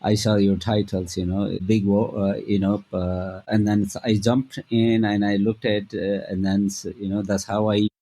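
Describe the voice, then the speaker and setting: Nepali-accented man, low voice, halting. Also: little pitch movement, warm tone. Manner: halting, many fillers and restarts. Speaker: guest, travel company founder. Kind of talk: casual interview answers.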